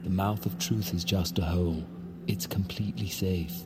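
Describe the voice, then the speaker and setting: A man's voice: sits low, steady tone, moderate pace, very noisy, lightly acted. A man playing an uneasy, blunt solicitor on holiday, speaking in an offhand, hedging way in an audio drama. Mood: reassuring. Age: adult. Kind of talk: casual dialogue in audio fiction. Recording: music under the voice.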